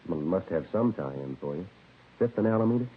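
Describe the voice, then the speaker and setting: An actor playing a terse, businesslike American police sergeant in a 1950s radio crime drama, clipped and matter-of-fact. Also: male voice, deep voice, lively intonation, fairly quick, husky. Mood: businesslike, probing. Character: terse, businesslike male police sergeant narrating his case. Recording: dull, phone-like vintage radio recording, faint music.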